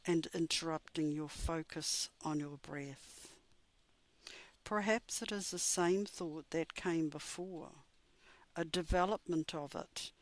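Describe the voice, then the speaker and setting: A woman leading a scripted guided meditation in a soothing, measured, instructive way. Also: sits low, dry recording.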